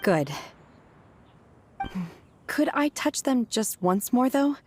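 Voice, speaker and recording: An actress voicing a calm, detached young woman who talks in a blunt, deadpan way. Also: on the high side, takes it slowly, a little hiss or hum. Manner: deadpan, flat, matter-of-fact.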